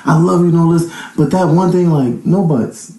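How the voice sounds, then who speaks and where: A man in his thirties talks casually as a guest in an interview. His voice is dark and mellow, and he rambles as he thinks out loud. The room around him is echoey. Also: on the high side, moderate pace. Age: 30s. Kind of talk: casual chat.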